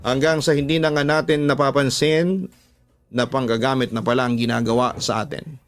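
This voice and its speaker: A man's voice: medium pitch, level tone, moderate pace. A man with a Filipino accent, a radio drama host narrating listener letters and reflecting on them in a storytelling style. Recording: music playing behind the voice. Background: background music.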